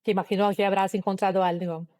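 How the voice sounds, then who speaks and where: A woman's voice: mid-range voice, level tone, darkish tone, no echo, studio quality. A woman from Brazil, speaking her as an interview guest and telling a personal story earnestly, with frequent restarts. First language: Portuguese. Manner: earnest, halting, many restarts and fillers.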